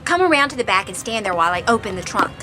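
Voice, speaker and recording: An actress playing an impulsive, naive young college student in a radio mystery, petulant, breezy and defensive. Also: female voice, medium pitch, sing-song, rapid-fire, over music, natural voice. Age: young adult. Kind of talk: radio mystery drama acting.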